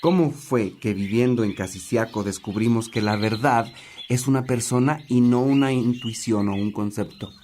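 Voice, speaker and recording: A man's voice: mid-range voice, moderate pace, darkish tone, a little hiss or hum, natural voice. A man acting a genial priest and old companion who likes reminiscing, in a religious radio drama. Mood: instructive, genial.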